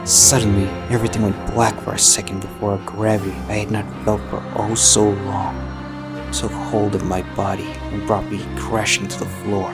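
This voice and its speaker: A man narrating fan fiction in the first person as an anguished, guilt-ridden living book, measured, halting and melodramatic. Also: on the low side. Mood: awed.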